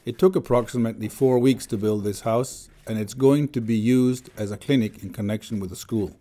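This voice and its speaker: A man delivers documentary narration at an even, measured pace. His voice is mellow and dark in tone, with a steady pitch that sits in the middle of the male range. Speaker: voice-over interpreter. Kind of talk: read documentary voice-over.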